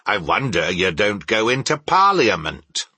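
A man voicing a grumpy, scornful old miser uncle in a storybook reading, stern and indignant, with a rough growl. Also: lowish voice, sing-song, a little slow, bright, forceful tone, no echo, pitched above the performer's own voice, heavily acted. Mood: biting, angry. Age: older.

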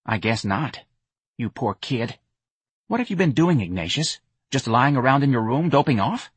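A man voicing a blunt, intense young woman activist who talks in a flat, direct, slightly hostile way. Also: medium pitch, moderate pace, husky, no room sound, pitched above the performer's own voice. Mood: indignant. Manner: brusque, nagging.

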